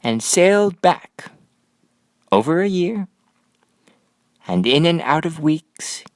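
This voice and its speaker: A man reading a children's picture book aloud as an expressive storyteller, with deliberate, dramatic phrasing and pauses. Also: a little high, no echo, clean studio sound.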